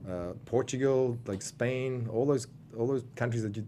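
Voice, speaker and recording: A man's voice: medium pitch, moderate pace, mellow, slight background noise. A man in his thirties with a South African accent, chatting casually as an interview guest, rambling with many fillers and restarts. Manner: rambling, lots of fillers and restarts, laughs often. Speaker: guest wrestler.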